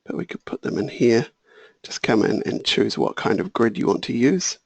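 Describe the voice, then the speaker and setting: A man walking through a software tutorial, thinking aloud and correcting himself, a little hoarse. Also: mid-range voice, normal speed, no room sound. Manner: relaxed, thinking aloud, small self-corrections. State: slightly hoarse, clearing throat. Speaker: software trainer. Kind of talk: screen-share software tutorial.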